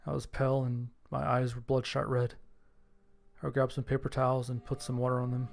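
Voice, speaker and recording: A man reading scripted first-person horror fiction as its narrator, with a gravelly voice. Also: medium pitch, monotone, fairly quick.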